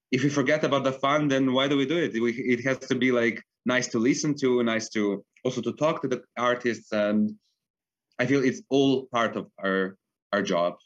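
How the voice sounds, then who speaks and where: A young man from Serbia, a pianist, talking earnestly in a casual interview and often saying "like". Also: mid-range voice. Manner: earnest, frequent fillers like "like". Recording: dull lo-fi recording.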